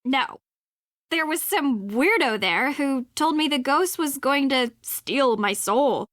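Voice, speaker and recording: A sardonic young sidekick in a video game. Her voice is on the high side, casual and teasing, with a sceptical edge. It is recorded very dry. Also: lively intonation, takes it slowly, a bit bright, no echo, exaggerated voice. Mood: exasperated, casual. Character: sardonic, sceptical young woman, detective's wisecracking friend.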